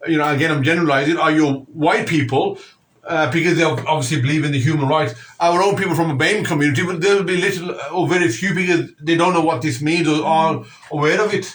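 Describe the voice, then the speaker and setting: A middle-aged man hosting a community radio phone-in, who talks in halting bursts full of fillers and restarts. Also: a little high, a bit bright. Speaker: radio show host.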